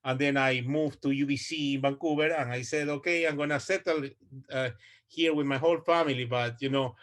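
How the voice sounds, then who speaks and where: A man's voice: mid-range voice, fairly even intonation, fairly quick. Guy in his 40s giving a research talk on a video call, lots of uhs and restarts. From Ecuador. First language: Spanish. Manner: hesitant, many fillers and restarts. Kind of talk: online presentation.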